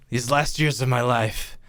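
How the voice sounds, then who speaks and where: A man playing a bitter, menacing old uncle on his deathbed in a radio play, halting and theatrical, with coughs and sighs. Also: mid-range voice, fairly bright tone, no room sound, studio quality. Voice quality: coughing, breathy sighs and laughs.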